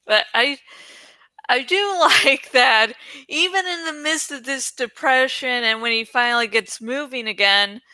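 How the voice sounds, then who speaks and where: A woman's voice: sits high, measured pace, pushed and bright, dry recording, low-quality recording. A woman host chatting in a rambling, informal, giggly way about a book, swearing freely. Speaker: host of a book read-along channel.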